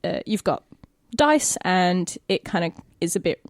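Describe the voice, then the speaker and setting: A woman's voice: fairly low pitch, animated, measured pace, dry recording, clean studio sound. A young adult woman with an Australian accent in casual podcast conversation, thinking aloud in loose, informal phrasing. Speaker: podcast co-host, actor.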